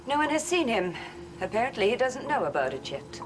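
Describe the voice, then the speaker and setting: A woman's voice: on the high side, very animated, fairly quick. An actress playing a shrewd, composed woman in a gothic television soap, with a knowing, theatrical delivery. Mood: grave, knowing. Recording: noisy old television soundtrack.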